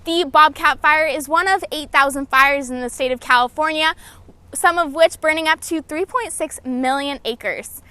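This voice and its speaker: High-voiced woman reading news facts. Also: moderate pace, pushed and bright, dry recording. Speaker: news narrator. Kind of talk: scripted news voice-over.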